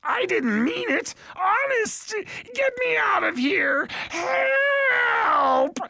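A man's voice: extremely high pitch, wildly swooping pitch, slow, vocal fry, sharp tone, breathy edge, dry recording, pitched above the performer's own voice, heavily acted. A man voicing a frantic, cheeky little male hobgoblin in an audiobook, with an indignant, yelping way of talking. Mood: panicked pleading. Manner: yelping, indignant, colourful insults. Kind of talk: audiobook character voice.

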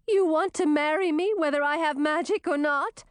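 A vain and jealous young princess in a fairy tale, performed by a woman reader. Her voice sits very high and leaps about with spluttering, theatrical indignation. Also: very animated, normal speed, dry recording, studio quality. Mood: haughty.

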